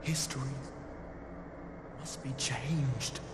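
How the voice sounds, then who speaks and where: An actor playing a mysterious disembodied voice in a video game, solemnly prophesying doom in formal, portentous phrases. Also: male voice, medium pitch. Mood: solemn, urgent. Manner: portentous, slow, formal delivery. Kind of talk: dramatic video game voice acting. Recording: echoing voice over noisy ambience.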